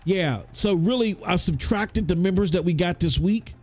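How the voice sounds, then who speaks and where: A man's voice: high-pitched, normal speed, a little mellow, soft background music. A man hosting a casual gaming podcast, chatty and loose, his voice stuffy from a cold. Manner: loose and chatty, many restarts and fillers. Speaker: podcast host. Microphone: hot studio microphone.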